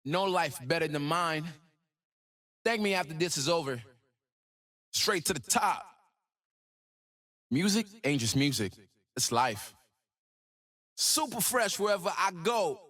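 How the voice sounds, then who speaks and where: A man's voice: a little high, expressive, measured pace, pauses often, fairly bright tone. An actor voicing a cocky, ambitious young male hip-hop star in a video game, with swaggering, slangy, rhythmic delivery. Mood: confident, driven. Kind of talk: game voice lines.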